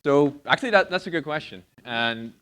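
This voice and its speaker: A man's voice: a little high, expressive, brisk. A man in his thirties from outside the US, giving a chatty conference talk on a theater stage with a lapel mic. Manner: loud, chatty, self-deprecating. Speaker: conference speaker.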